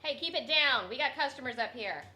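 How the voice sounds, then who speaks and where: A woman playing a deadpan, unsympathetic co-worker in a comedy radio sketch. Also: high-pitched, brisk, very noisy.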